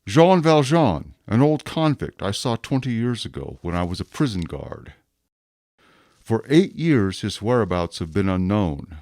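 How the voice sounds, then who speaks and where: A male actor plays a rigid, dutiful police inspector in a radio drama. He is a low-pitched man who speaks with formal, deliberate deference and accuses himself obsessively. His pitch rises and falls dramatically, and the sound is close and dry. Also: big pitch swings, a little mellow, no echo. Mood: grave confession.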